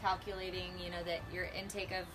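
A woman's voice: lowish voice, flat delivery, fast. Young adult woman, chatty with fillers, outdoor deck, noise behind. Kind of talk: casual chat.

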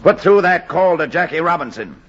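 Forceful, animated baseball boss. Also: male voice, a little high, fairly quick, slightly rough, exaggerated voice. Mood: determined. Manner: emphatic, clipped, commanding. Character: decisive, forceful baseball club chief. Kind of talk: 1940s radio acting. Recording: muffled 1940s radio recording, faint hiss.

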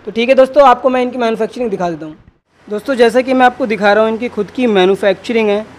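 A man's voice: very high voice, very fast. A man in his twenties hosting a video, talking to camera and interviewing, often repeating answers back for viewers. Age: 20s. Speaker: video host. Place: garment factory workroom and sample room. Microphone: handheld mic with windscreen.

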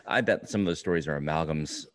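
A man in his forties with a deep, low voice in a dry-sounding room. He talks like a podcast co-host in casual conversation, circling his thoughts, stopping and starting, and cursing freely. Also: dry recording. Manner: rambling, halting, profane. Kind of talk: casual book review chat. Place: indoor room, remote video call.

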